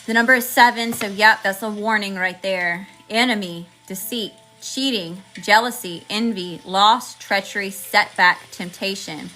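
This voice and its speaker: A woman reading tarot cards for her viewers in a chatty, slangy way, calling them y'all and listing card meanings one word at a time, with her voice going hoarse. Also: medium pitch, unhurried, hardly any pauses, bright, forceful tone, low-quality recording. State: losing her voice. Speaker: tarot reader channeling messages. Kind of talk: casual spiritual reading to viewers.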